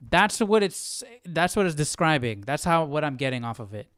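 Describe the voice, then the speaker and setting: A man's voice: fairly high pitch, very animated, normal speed, fairly bright tone, clear, resonant tone, dry recording, studio quality. A young man hosting a casual study livestream, musing aloud as if to himself. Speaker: livestream host. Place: small indoor room.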